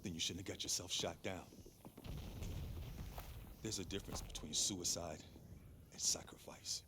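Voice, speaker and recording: An actor playing a stern, commanding army sergeant in a video game cinematic. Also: male voice, low voice, fairly even intonation, unhurried. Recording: heavy battle noise behind the voice.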